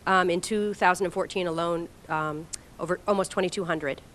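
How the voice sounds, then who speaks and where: Woman pitching volunteer work with ums. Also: steady tone, brisk, a bit bright, a little hiss or hum. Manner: enthusiastic, persuasive, frequent ums. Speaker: resettlement agency staff. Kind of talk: informal public talk.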